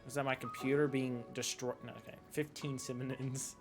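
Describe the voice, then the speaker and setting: A man giving casual, jokey gameplay commentary as a streamer, goofy and excitable, mimicking characters as he goes. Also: mid-range voice, normal speed, music in the background. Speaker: game streamer.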